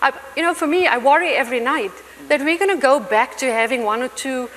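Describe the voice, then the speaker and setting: A woman's voice: high-pitched, fairly quick, fairly bright tone. A woman on a conference panel, speaking earnestly and building her points in lists. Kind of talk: panel discussion. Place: large conference hall stage. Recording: long hall reverb, faint noise.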